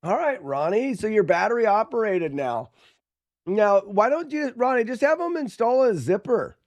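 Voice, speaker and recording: A man's voice: sits high, sing-song, moderate pace. A man live streaming a game in a casual way, chatting to viewers and thinking aloud as he goes. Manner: talks to chat, thinking aloud, muttering.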